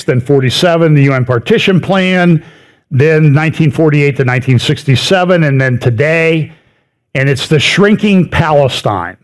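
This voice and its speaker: A middle-aged man giving a conversational lecture over slides, stressing words by repeating them and dropping in wry asides. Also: mid-range voice. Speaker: speaker giving a prophecy update talk.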